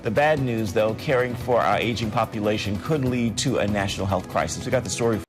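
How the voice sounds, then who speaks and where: A man's voice: lowish voice, a little fast, over loud music. A man presenting scripted news segments and teasers in an upbeat newsreader manner.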